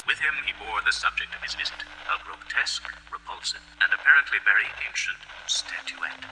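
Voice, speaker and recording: A man's voice: on the low side, animated, fairly quick, lightly acted. A man playing the grave, ominous narrator of a horror radio drama in formal literary language. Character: grave male narrator of an eldritch horror tale. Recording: hissy, static-laden old radio sound.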